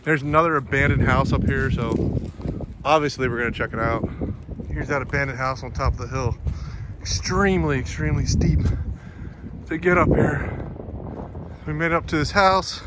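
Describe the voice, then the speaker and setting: A man narrating a hiking vlog in a casual, matter-of-fact, halting way as he walks. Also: mid-range voice. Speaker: hiking vlogger. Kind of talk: casual walk-along narration. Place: outdoors in woods by a river. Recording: outdoor phone video with ambient noise.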